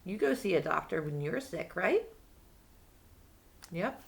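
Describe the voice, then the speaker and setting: A woman's voice: on the low side, big pitch swings, slow, creaky, slight background noise. A woman reading a picture book to young children, asking simple questions and answering them herself. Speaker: children's read-aloud storyteller.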